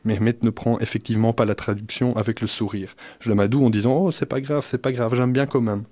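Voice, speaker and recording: A man's voice: mid-range voice, fairly even intonation, brisk, mellow, dry recording. A man with a Belgian French accent reading his own humorous radio column, wry and self-mocking, full of playful wordplay. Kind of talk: humorous read-out radio chronicle.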